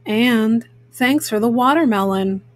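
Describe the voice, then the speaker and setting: A woman's voice: a little high, unhurried, no room sound, pitched below the performer's own voice, lightly acted. A woman voicing a young boy bear cub, earnest, spirited and straightforward, in a children's storybook. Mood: earnest, polite.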